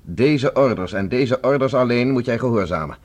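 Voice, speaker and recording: An actor playing a resolute escaped professor in a science fiction radio play, giving terse orders. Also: male voice, medium pitch, animated, moderate pace, darkish tone, lightly acted. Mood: firm, commanding. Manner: terse, imperative, gives orders. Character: commanding escaped professor, prisoner on Mars, urgent and resolute.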